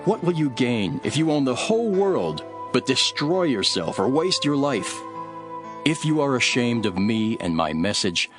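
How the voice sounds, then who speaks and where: An actor playing a calm, grave, authoritative male teacher in a Bible audio drama, speaking in a measured way. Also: mid-range voice, lightly acted. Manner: measured, solemn.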